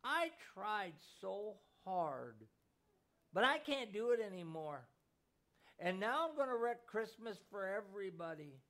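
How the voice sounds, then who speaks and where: A man's voice: sits high, unhurried. A man voicing a small boy who talks through tears, choked and sniffling. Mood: sobbing. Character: upset little boy, tearful and stubborn.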